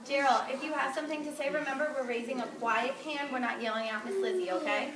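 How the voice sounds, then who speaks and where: A woman teacher reading a picture book aloud to young children, expressive and often prompting them with questions. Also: sits high, fast talker, a crowd in the background. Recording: distant, echoey noisy classroom.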